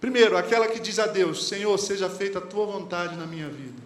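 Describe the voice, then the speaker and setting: A man's voice: sits high, brisk. A man with a Brazilian Portuguese accent, a pastor preaching a sermon in a conversational way and putting questions to the congregation. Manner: conversational preaching, quotes lyrics and sayings, asks the congregation questions. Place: church hall. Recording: echoey church hall, faint ambience.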